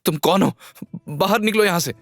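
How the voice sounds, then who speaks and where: A man's voice: sits high, normal speed, creaky, faint music behind, pitched above the performer's own voice. A man voicing an anxious, protective young woman in an audio drama, with an urgent, firm manner. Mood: alarmed. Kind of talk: Hindi audio drama acting.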